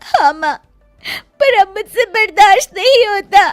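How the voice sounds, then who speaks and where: Woman as earnest, very high-voiced young bride. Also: lively intonation, measured pace, no room sound, soft background music. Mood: tearful. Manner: pleading, earnest, soft-hearted. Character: gentle, hurt, caring young daughter-in-law with superpowers. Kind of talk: acted story dialogue.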